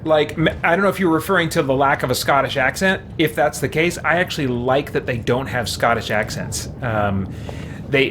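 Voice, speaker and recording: A man giving casual live gameplay commentary, informal and halting as he thinks aloud. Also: mid-range voice, noisy background. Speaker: streamer playing a video game.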